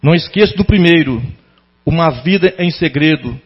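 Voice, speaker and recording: A middle-aged Brazilian man delivering a prepared public tribute in a formal, sentimental, deliberately phrased way. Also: on the high side, animated, slightly rough. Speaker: former pupil giving a tribute to a teacher. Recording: dull lo-fi sound.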